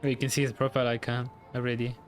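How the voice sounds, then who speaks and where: A man giving casual, chatty live reactions as an excitable game streamer. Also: medium pitch, racing, soft background music.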